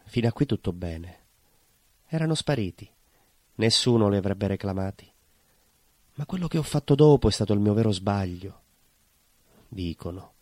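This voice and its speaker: Brooding baker, dry close sound. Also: male voice, fairly low pitch, lively intonation, dark tone, no echo. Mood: grim, brooding. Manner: brooding, dramatic pauses and repetitions. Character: bitter southern Italian baker confessing to vengeful murder. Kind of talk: theatrical monologue, intimate confessional storytelling.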